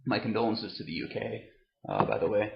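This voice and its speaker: Low, gravelly man rambling in a roomy space. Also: very animated. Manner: halting, hesitant, repeats words. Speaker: vlogger reviewing tobacco products. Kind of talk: unscripted rambling product review to camera. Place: room at home, indoors. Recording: dull, roomy webcam sound.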